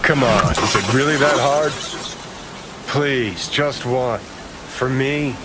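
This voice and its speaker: A man's voice: mid-range voice, takes it slowly, very noisy, lightly acted. An actor playing a pushy, foul-mouthed, cynical man in a video game, needling and grumbling. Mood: coaxing. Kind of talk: naturalistic video game acting.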